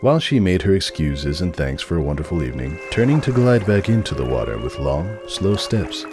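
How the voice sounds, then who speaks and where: Low-pitched male story narrator over music. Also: very animated, brisk. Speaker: narrator of an audio story reading. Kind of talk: dramatic scripted narration of fan fiction.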